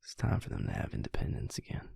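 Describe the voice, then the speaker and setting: A man playing a brooding vampire maker in an intimate audio roleplay, speaking haltingly and reflectively in a husky, gravelly whisper. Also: mellow, no room sound, studio quality, close to an everyday voice. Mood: reflective, tender. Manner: halting, reflective, low-key. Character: brooding male vampire maker confiding in his mate.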